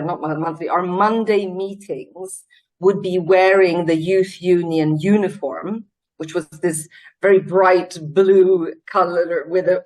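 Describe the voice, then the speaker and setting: A woman's voice: fairly low pitch, darkish tone, rough recording. A Swedish-born woman, a CEO, talking in a casual interview over a video call, earnest and reflective with many fillers and restarts. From Sweden.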